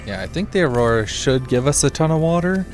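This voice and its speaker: A man's voice: on the high side, expressive, a little fast. A man with a slightly scratchy voice giving casual gameplay commentary, rambling and muttering to himself as he thinks aloud. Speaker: small gaming streamer. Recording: music behind the voice. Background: game music.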